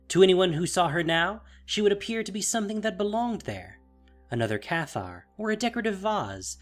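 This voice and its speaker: A man's voice: mid-range voice, close to an everyday voice. A man narrating a fantasy audio drama in a dramatic, scripted style.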